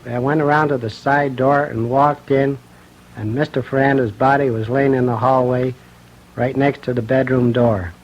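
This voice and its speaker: A man's voice: medium pitch, little pitch movement, normal speed. A middle-aged American man giving a plain-spoken eyewitness statement. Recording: dull old radio recording.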